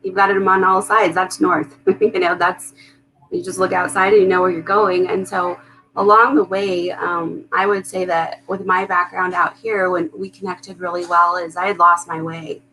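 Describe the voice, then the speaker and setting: A woman in her thirties from Colorado shares her background as a talk show guest. Her speech is relaxed and unhurried. She hesitates often and fills her pauses with "um.". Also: fairly low pitch, poor audio. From Colorado, USA. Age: 30s. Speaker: guest author. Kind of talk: casual personal story.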